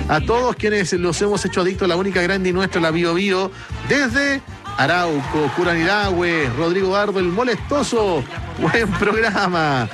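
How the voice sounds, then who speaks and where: High-voiced Chilean radio man with music behind. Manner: conversational, colloquial, punctuated with tag questions. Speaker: radio host. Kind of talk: casual late-night radio chat, reading listeners' messages aloud.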